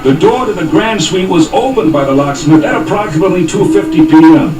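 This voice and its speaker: An actor playing an earnest police detective who reads out numbered facts like an official report, in a parody of old police radio drama. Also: male voice, sits high, animated, a little fast, distant mic. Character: earnest, eager police detective reporting to his senior partner. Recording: roomy cassette copy with music behind.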